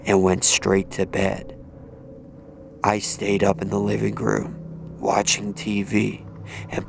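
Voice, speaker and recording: A man narrating as an unnerved college student telling a creepy story, in a hushed, deliberate, ominous manner. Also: on the low side, vocal fry, pitched below the performer's own voice. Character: unnerved young male college student recounting a creepy night. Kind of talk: slow scary-story narration.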